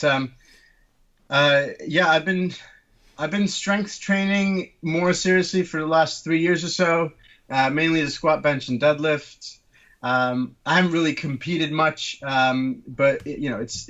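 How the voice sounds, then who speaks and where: A man's voice: medium pitch, fairly bright tone, highs cut off. A man in his thirties from Turkey, guest on a casual podcast, explaining things with many ums and uhs. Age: 30s. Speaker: guest orthopaedic surgeon.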